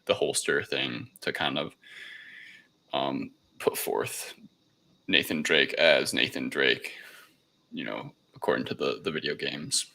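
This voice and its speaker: A man's voice: very deep voice, slow pace, creaky, pushed and bright. A man chatting casually as a podcast panelist reviewing films, with a hesitant, filler-heavy way of talking. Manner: hesitant, repeating "like" and "you know".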